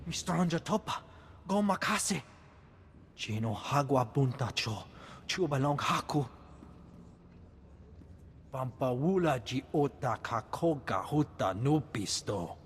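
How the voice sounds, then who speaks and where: A man's voice: medium pitch, animated, slow, long pauses, long reverb tail, slight background noise. An actor playing a businesslike male alien in a video game, speaking an invented chattering language.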